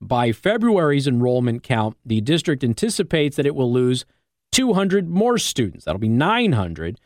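A dry, close studio recording of an American man hosting news talk radio. His pitch sits in the middle of the male range. He explains things in a conversational way, checking in with listeners as he goes. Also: very animated, normal speed, a little mellow, dry recording. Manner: explanatory, checks in with "okay?" and "all right?". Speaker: radio talk show host. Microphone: close studio microphone.

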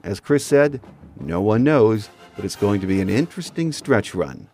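Low-pitched American man hosting a sports podcast. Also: lively intonation, normal speed, a little mellow. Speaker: podcast host. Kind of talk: scripted sports commentary monologue.

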